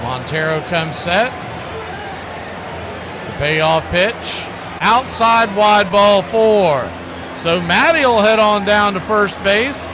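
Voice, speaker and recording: Man, baseball announcer, high voice, clipped punchy calls, folksy slang, clear background noise. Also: lively intonation, slow pace, pauses often. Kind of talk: live radio-style baseball commentary.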